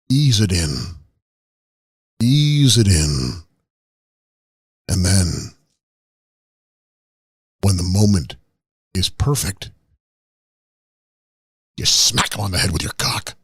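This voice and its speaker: A man playing a crude, arrogant retired wrestling tycoon on a comedy podcast, rambling with halting restarts. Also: low-pitched, expressive, slightly rough, dry recording, studio quality, heavily acted. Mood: smug. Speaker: comedy podcast guest character.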